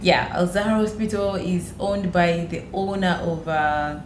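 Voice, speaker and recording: A woman's voice: lowish voice, fairly even intonation, unhurried. A woman from Uganda with a Ugandan accent, telling personal stories in conversation as a podcast guest and repeating phrases for emphasis. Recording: echoey room with clear background noise.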